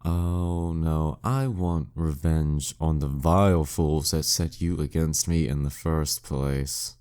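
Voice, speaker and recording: A man's voice: a bass voice, steady tone, darkish tone, clean tone. A man playing a proud, dominant demon king in an audio roleplay, teasing and condescending in an intimate scripted monologue. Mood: brooding, vengeful. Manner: slow, teasing, condescending, smugly theatrical. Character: dead male demon king, taunting, dominant, proud former nemesis.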